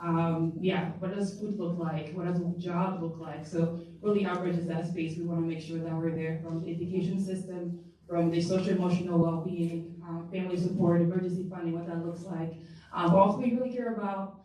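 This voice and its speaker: A woman's voice: on the low side, steady tone, brisk, dark tone, slight background noise. A woman from Rwanda, a community engagement manager, talking conversationally on a panel with restarts. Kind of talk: panel introduction. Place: large room at a public forum. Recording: echoey room, distant mic.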